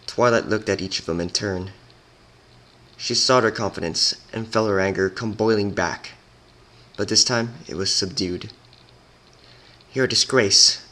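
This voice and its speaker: A man's voice: on the low side, normal speed, a bit bright. A man reading scripted story narration as a dramatic reading.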